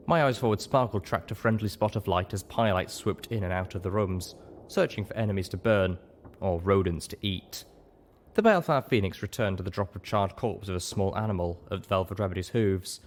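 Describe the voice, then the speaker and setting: A man reading scripted story narration as an audiobook narrator. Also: low voice.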